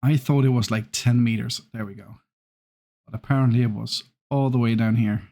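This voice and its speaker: A man's voice: mid-range voice, fairly even intonation, warm tone. A man in his thirties from Scandinavia, with a Scandinavian accent with traces of Irish, giving casual, hesitant commentary over his gameplay. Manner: hesitant, many ums and restarts, unhurried. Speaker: gaming YouTuber.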